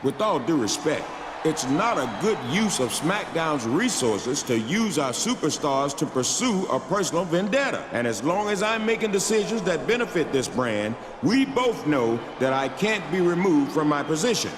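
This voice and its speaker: A man's voice: a little high, sing-song, moderate pace. A man playing a firm, defiant wrestling general manager, speaking formally and assertively in promo style. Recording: echoey arena with noisy ambience.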